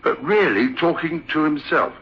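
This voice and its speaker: A man's voice: a little high, lively intonation, normal speed, natural voice. An actor playing a chatty, sensible theatre manager who tells his tale conversationally in a vintage radio horror drama. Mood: suspenseful. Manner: conversational, hesitant, incredulous. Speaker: narrator. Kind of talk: 1960s radio horror storytelling. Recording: dull vintage radio recording.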